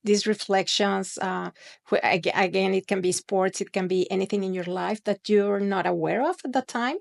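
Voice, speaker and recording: A woman hosts an interview podcast and draws out her guest's story. Her voice has a marked gravelly fry, and she talks with many fillers and repeated starts. Her pitch sits in an everyday mid range. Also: fairly even intonation, moderate pace. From born outside the United States. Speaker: podcast host.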